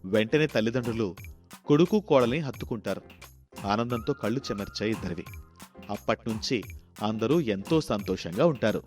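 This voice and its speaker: A man's voice: on the low side, expressive, normal speed, pauses often, a little mellow, soft background music. A man narrating a scripted moral story as a storyteller.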